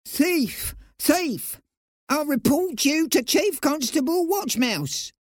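A tiny, squeaky, scolding mouse. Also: male voice, animated, slow, no room sound, clean studio sound, full character voice. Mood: shrill accusing. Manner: sharp, scolding. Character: tiny, bossy, accusing larder mouse.

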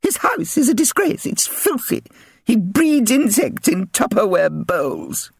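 A man's voice: high voice, wildly swooping pitch, unhurried, gravelly, husky, no room sound, clean studio sound, voice pushed up, exaggerated voice. A man voicing a haughty, shrieking committee chairwoman, in a storybook reading.

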